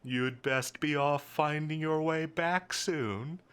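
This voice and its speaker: A man's voice: on the high side, slow pace, fairly bright tone, smooth. A man playing a smug, menacing villain boss in an audio roleplay, with a theatrical, mocking, condescending delivery. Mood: smug, taunting. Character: smug, menacing male supervillain boss toying with his enemy.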